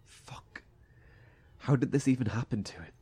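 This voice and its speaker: An anguished young male survivor in a horror audio drama. His voice is husky and breathy, and he stammers through his words, overwhelmed yet protective. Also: medium pitch, expressive, mellow. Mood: shaken, subdued. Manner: halting, stammering, emotional.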